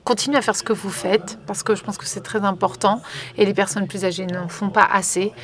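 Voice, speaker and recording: Woman, simultaneous interpreter, medium pitch, hesitant, fillers, restarts. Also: steady tone, a little fast, fairly bright tone. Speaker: conference interpreter.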